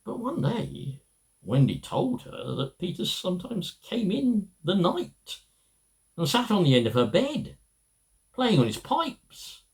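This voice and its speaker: Man, middle-aged, slow storybook reading, hugely varied pitch, halting emphatic phrasing. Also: huge pitch swings, rough recording. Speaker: amateur storybook reader. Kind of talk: children's story read aloud.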